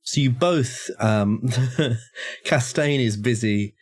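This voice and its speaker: A man's voice: medium pitch, slow pace. A man narrating a tabletop horror game as game master, hesitant with many restarts.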